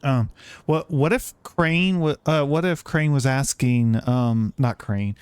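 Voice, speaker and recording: A man live-streaming a game, chatting casually and reacting in a halting, soft-hearted way. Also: mid-range voice, clear, resonant tone, dry recording.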